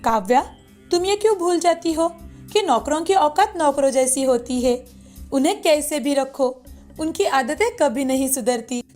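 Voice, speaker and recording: Woman playing middle-aged society friend, catty, touchy, taunting, high voice, cartoon melodrama, faint music. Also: lively intonation, measured pace, a little mellow. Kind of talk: cartoon voice acting in a moral story.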